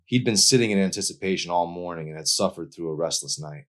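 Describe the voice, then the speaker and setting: A man's voice: low-pitched, fast. A man reading his own novel aloud as dramatic audiobook narration.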